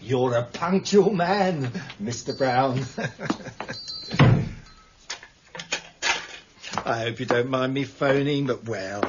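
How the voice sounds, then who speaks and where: A man's voice: medium pitch, measured pace, close to an everyday voice. An actor playing a shifty small-time male shopkeeper in a radio crime drama, evasive, hesitant and ingratiating. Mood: falsely friendly. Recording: dull, noisy radio broadcast copy.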